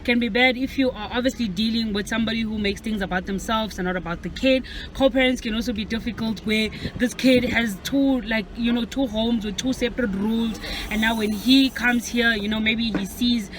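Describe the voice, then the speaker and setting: A man's voice: extremely high pitch, fairly even intonation, brisk, hardly any pauses, a bit bright. A South African man hosting a casual debate, rambling and often asking "you understand?". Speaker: host. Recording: noisy street traffic in background.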